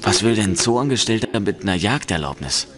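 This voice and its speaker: A man's voice: lowish voice, expressive, fairly quick, a crowd in the background, natural voice. An actor playing a curious, casual young male investigator in a video game, asking probing questions. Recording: game audio with busy background.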